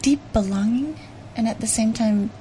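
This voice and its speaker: A woman's voice: fairly high pitch, normal speed, mellow, a little hiss or hum, poor audio. A Canadian-born woman author being interviewed on radio, thinking aloud with frequent ums and restarts. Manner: thoughtful, hesitant with many restarts and ums. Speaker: author guest.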